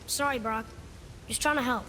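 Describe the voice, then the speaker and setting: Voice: medium pitch, steady tone, moderate pace, pushed and bright, lightly acted. A performer playing an earnest, curious young boy in a video game, casual and questioning. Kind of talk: video game character acting. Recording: clear ambient noise behind.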